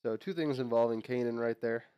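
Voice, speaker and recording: A man's voice: medium pitch, fairly quick, clean tone, no room sound, dull audio. A young man hosting his own channel, sounding nasal from a cold, chatting casually and rambling with fillers and restarts. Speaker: host. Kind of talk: casual unboxing and lore talk.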